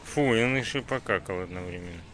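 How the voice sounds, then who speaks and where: A woman's voice: rumbling low, fairly quick, noisy background. A woman at an outdoor zoo, chatting casually and coaxing a child, filmed on a phone. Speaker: parent on a zoo visit. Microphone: phone camera held by the filmer. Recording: background music over phone audio.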